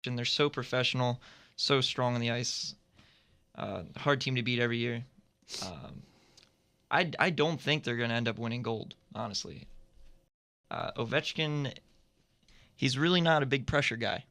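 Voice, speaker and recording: A man's voice: medium pitch, normal speed, pauses often, sharp tone. A man giving firm opinions and predictions as a panelist on a roundtable sports radio show, recorded in a studio.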